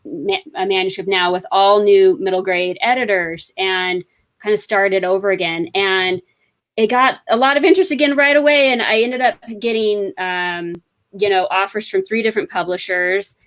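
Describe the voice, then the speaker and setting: A woman's voice: mid-range voice, steady tone, moderate pace, fairly bright tone. A woman author being interviewed, telling her story in a chatty, rambling way full of fillers. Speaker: author guest. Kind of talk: casual interview chat.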